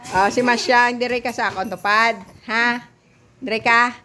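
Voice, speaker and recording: A Filipino woman with a Visayan accent telling an improvised story to children, animated and often directing them, in a noisy recording. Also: a little high, unhurried, loud music behind the voice.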